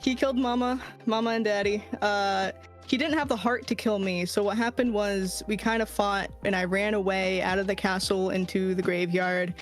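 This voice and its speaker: A woman's voice: mid-range voice, steady tone, normal speed, faint music behind. A woman with a Boston accent, a guest chatting casually about her character's backstory, full of fillers and casual swearing. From near Boston, US East Coast. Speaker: guest, VTuber.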